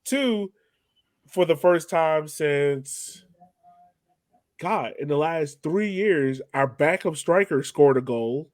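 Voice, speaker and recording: A man's voice: a little high, takes it slowly, no echo. A man hosting a soccer podcast, chatting casually and steering the discussion along. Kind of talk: casual sports chat.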